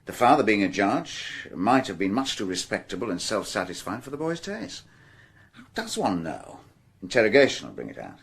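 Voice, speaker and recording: Husky, exasperated British-accented police detective. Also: male voice, fairly low pitch, wildly swooping pitch, normal speed, a bit bright, exaggerated voice. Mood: weary, explaining. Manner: hesitant musing breaking into indignant outbursts. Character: dogged, exasperated male French police detective. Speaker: actor in a radio crime drama. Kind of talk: naturalistic British radio drama acting.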